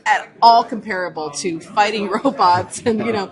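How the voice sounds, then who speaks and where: Female interview guest, informal and explanatory, medium pitch, with convention crowd chatter around her. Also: normal speed, rough recording. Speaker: comic writer, interview guest. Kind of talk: casual interview answers. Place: convention floor, recorded live. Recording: busy convention crowd behind.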